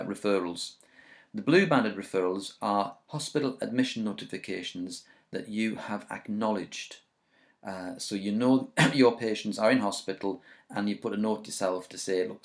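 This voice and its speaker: A man narrating a software tutorial in an informal, explanatory way, like a trainer talking learners through it. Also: lowish voice, gravelly.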